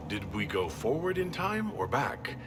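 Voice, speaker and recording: Blunt, expressive game hero. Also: male voice, medium pitch, unhurried, noisy background. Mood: puzzled. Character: blunt, questioning adult male hero. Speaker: voice actor in a fantasy video game. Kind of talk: game cutscene dialogue. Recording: echoing hall reverb under music.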